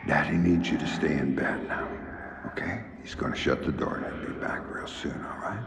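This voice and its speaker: A middle-aged man with an American accent playing a gruff covert agent and tender father in a video game, gravelly, measured and quiet. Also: a bass voice, roomy sound, in a whisper. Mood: hushed, firm.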